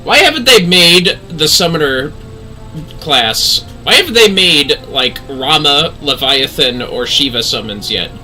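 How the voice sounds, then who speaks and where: A man commentates on a game livestream in a very bright, forceful voice. His pitch is animated and jumps around as he exclaims and jokes. He talks casually, swears freely, and has faint game music behind him. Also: a little slow. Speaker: livestream host.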